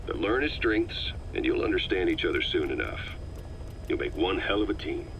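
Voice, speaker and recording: An actor playing a calm military operative in a video game, explaining things in a measured, deliberate way. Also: male voice, low voice, gravelly, slight background noise, heavily acted, rough recording. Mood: relaxed, approving. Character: calm, reasoning male military operative and field mentor. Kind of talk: video game voice acting, briefing dialogue.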